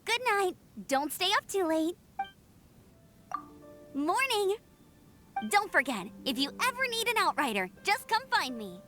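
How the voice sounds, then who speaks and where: A woman voicing a cheerful, eager young female scout in a video game, with a perky, expressive, chatty manner. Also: very high voice, a little slow, pauses often, faint music behind, heavily acted. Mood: friendly. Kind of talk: video game character dialogue.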